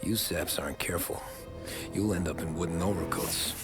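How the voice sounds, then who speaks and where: An actor playing a modest but tough young American mobster in a period game, wry and laid-back. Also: male voice, sits low, fairly quick, over loud music, natural voice. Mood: defiant. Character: modest, tough young 1930s mob driver. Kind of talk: dramatic video game acting.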